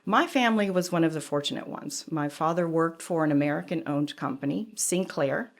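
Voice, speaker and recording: A woman around seventy, a furniture designer, recalling her life in a reflective, measured conversational way. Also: low voice. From Cuba, raised in Georgia. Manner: reflective storyteller, measured with small pauses. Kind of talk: conversational interview.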